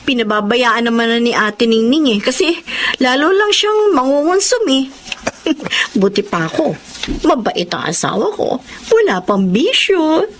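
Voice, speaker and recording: A woman's voice: a little high, sing-song, a little slow, slight background noise. A woman acting a devoted, trusting young Filipino wife who narrates and plays out her story in a radio drama. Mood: sweet, proud.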